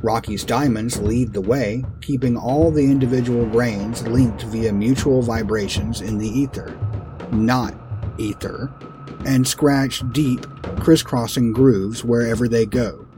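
Casting: male narrator, mid-pitched, theatrical and unhurried, for a comic fantasy reading with music underneath. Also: fairly even intonation. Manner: theatrical, deliberate.

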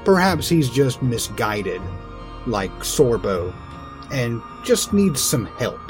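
A man narrating a comic fantasy story in a theatrical, deliberate dramatic-reading style. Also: mid-range voice, big pitch swings, soft background music.

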